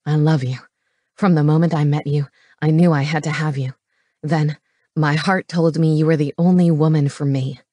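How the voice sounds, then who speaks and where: Possessive, tender romance hero, played by a woman: pitched well down, breathy growl, audiobook intimacy. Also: steady tone, normal speed, dark tone, dry recording, studio quality. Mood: adoring. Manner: low, breathy, growling delivery. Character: possessive, tender male lover in paranormal romance.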